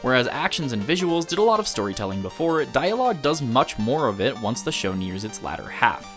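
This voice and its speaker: A man's voice: mid-range voice, big pitch swings, a little fast, fairly bright tone. A man reading a scripted video essay as an anime reviewer, analytical and articulate in formal wording. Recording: music behind the voice. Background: background music.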